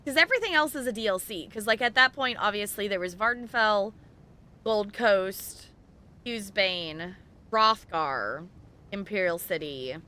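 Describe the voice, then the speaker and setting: A woman's voice: medium pitch, animated, a little slow, bright, forceful tone. A woman hosting a game livestream, chatting casually and thinking aloud in a rambling way as she plays. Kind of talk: casual chat while playing an online game.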